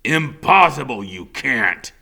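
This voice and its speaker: A man's voice: medium pitch, sing-song, takes it slowly, gravelly, pushed and bright, heavily acted. A man voicing a menacing, violent father in an audiobook, with a threatening, scornful, domineering manner. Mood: cold, insistent. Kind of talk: dramatic audiobook character reading.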